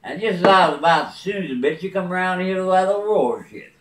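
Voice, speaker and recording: A man voicing a cheeky, boastful male monkey who taunts in high, mocking sing-song, recorded in a roomy space from a distance. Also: lively intonation, a little fast, fairly bright tone. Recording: roomy, mic far off.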